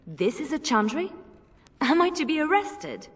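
A woman's voice: high voice, sing-song, normal speed. An actress voicing a wounded, earnest young woman bard in a video game, wary and questioning. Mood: wary, puzzled. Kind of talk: video game cutscene acting. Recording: long reverberant hall echo.

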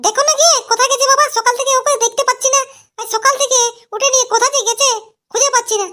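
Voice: very high-pitched, steady tone, racing, pushed and bright. A performer voicing a young married cartoon woman with an emphatic, coaxing manner in an exaggerated comic style. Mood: nagging. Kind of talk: exaggerated comedy cartoon voice acting.

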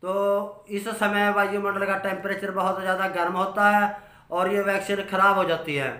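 Older male farmer, 60s, bright forceful voice with little pitch movement, explaining from notes. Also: flat delivery, normal speed. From Haryana, India. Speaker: dairy farmer giving advice. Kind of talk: informal instructional talk from notes. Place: small curtained room at home. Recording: roomy indoor echo.

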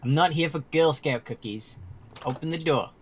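A man's voice: fairly high pitch, slight background noise, close to an everyday voice. Man as an earnest, formal mortal emissary. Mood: insistent. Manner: formal, measured, portentous delivery. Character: earnest, persistent male mortal emissary with a proposition. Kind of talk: amateur game roleplay acting.

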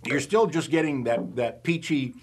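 A man's voice: medium pitch, moderate pace, darkish tone, slightly rough. A middle-aged man co-hosting a wine tasting in a shop, dry and sarcastic in banter but effusive when praising the wines. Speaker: wine taster, co-host. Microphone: overhead boom mic.

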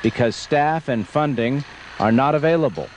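A man's voice: medium pitch, moderate pace, a crowd in the background. A man working as a news anchor, narrating reports and interviewing guests in a broadcast style.

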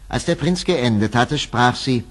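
A man narrating a scripted fairy tale as storyteller, measured and expressive, sometimes hushed, with a husky voice. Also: mid-range voice.

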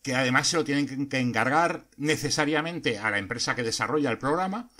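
A man's voice: medium pitch, a little fast, pushed and bright. Earnest Spanish-accented man hosting a podcast. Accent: Spain. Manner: earnest, reflective, with frequent hesitations. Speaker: podcast host. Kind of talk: explanatory podcast monologue.

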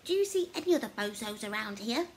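A woman voicing a brash, boastful, easily wounded little creature in a children's Christmas story, loud and theatrical. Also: on the high side, very animated, fast talker, a little hiss or hum. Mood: cocky, bossy. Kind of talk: children's story character reading.

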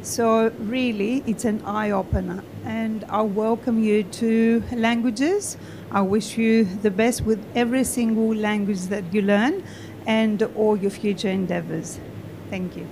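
A woman from Greece, a modern Greek lecturer, giving a measured welcome speech to new students with frequent fillers. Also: steady tone. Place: university lecture room. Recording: noisy lecture room with rumble.

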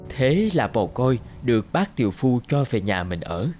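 A man's voice: medium pitch, big pitch swings, normal speed, close to an everyday voice. A man narrating a scripted fairy tale for a cartoon. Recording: music behind the voice.

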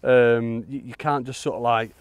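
Chatty angler outdoors. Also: male voice, mid-range voice, fairly even intonation, moderate pace, clear, resonant tone, dull audio. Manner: chatty, matey, calls people "mate". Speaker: angler presenting a fishing video. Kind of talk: casual chat while fishing. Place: outdoors at a lakeside fishery.

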